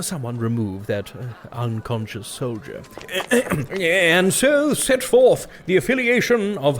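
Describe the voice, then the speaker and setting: An actor playing a vague, bumbling king in a comedy audio drama, making halting, stammering, mock-regal proclamations. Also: fairly high pitch, a little hiss or hum. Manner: halting, stammering, flustered pomp. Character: vague, bumbling male king addressing his subjects.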